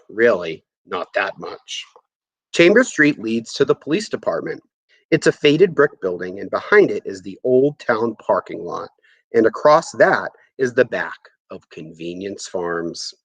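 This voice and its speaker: A man reading a first-person story aloud in an expressive, conversational way. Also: on the low side, no echo. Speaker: audiobook reader.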